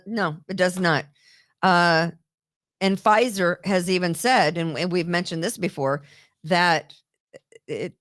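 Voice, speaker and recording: A woman's voice: on the low side, a bit bright. Indignant woman hosting a talk show. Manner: indignant, rapid, repeats herself. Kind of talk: opinionated political chat. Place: New York.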